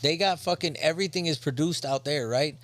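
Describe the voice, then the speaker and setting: A man's voice: fairly high pitch, fairly quick, a bit bright, no room sound. A man co-hosting a casual podcast chat, rambling and swearing often, restarting his sentences as he goes. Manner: rambling, profane, restarts a lot. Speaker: podcast co-host.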